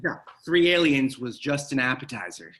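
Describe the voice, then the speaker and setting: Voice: mid-range voice, big pitch swings, normal speed, fairly bright tone. A performer playing an impatient, wry young adventurer with a sardonic manner in a table read.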